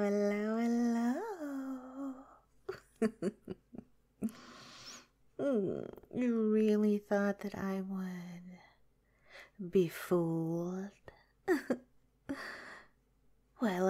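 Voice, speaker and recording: A woman voicing a possessive yandere nurse girlfriend in an ASMR roleplay, sweetly menacing, coy and breathy, often slipping into a whisper. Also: medium pitch, very slow, long pauses, mellow. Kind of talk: ASMR roleplay, soft intimate drawn-out delivery.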